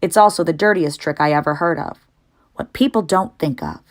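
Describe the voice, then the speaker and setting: A woman reads as a female spider from a children's book, composed and motherly. Her voice sits slightly low, with a brisk, firm delivery. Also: lightly acted. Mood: thoughtful.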